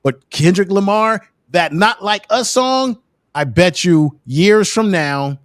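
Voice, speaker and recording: Man, 50s, emphatic, higher pitch, dry. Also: expressive, slow pace, no room sound. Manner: emphatic, preachy, building points. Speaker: co-host. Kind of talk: casual panel discussion.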